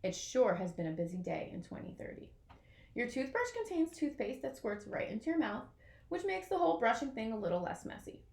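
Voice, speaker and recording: Creaky-voiced woman reading a children's storybook. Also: fairly high pitch, fairly quick, echoey room. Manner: expressive, addressing young listeners. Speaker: storybook reader. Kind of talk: children's picture book read-aloud.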